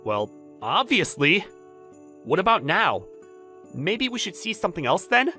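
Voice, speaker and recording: A man's voice: high voice, very animated, measured pace, bright, forceful tone, exaggerated voice. A man playing a flustered, jilted bachelor who narrates his awkward romance with dramatic, exasperated inner monologue in a manga dub. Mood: friendly, sheepish. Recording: background music under the voice.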